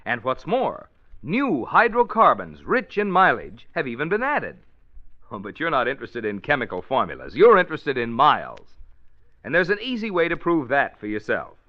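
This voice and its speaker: A man's voice: medium pitch, wildly swooping pitch, normal speed. A man delivering a 1940s radio commercial as an announcer, with emphatic, folksy salesman's patter. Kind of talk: 1940s radio advertising read. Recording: muffled old radio recording.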